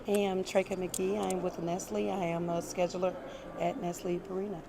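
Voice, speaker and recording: A woman's voice: lowish voice, flat delivery, moderate pace, gravelly. A middle-aged woman on a panel telling her career story in a matter-of-fact way. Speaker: aviation scheduler, panelist. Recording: busy crowd noise around the voice. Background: crowd chatter.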